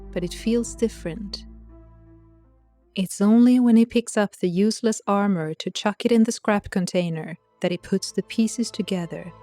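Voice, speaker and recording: A woman's voice: mid-range voice, mellow. A woman giving scripted narration as the storyteller of an audio drama.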